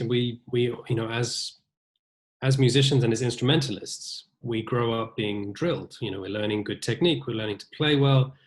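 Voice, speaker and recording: A young man from New Zealand with a British accent, talking thoughtfully as a violinist guest in an interview, often restarting his sentences. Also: mid-range voice. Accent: British English. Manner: thoughtful, many restarts and fillers. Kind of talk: casual interview conversation.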